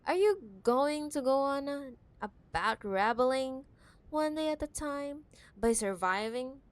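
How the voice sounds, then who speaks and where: Slow-talking, possessive corporate ex-girlfriend, acted by a woman. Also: high-pitched, expressive. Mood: mocking, scornful. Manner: teasing, condescending, scornful, drawn-out emphatic delivery. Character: possessive, condescending wealthy female CEO ex-girlfriend in cyberpunk city. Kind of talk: ASMR audio roleplay, intimate dramatic monologue.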